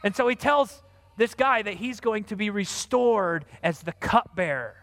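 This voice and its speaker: A man preaching, retelling a Bible story in a chatty, animated way. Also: high voice, measured pace. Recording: long hall reverb.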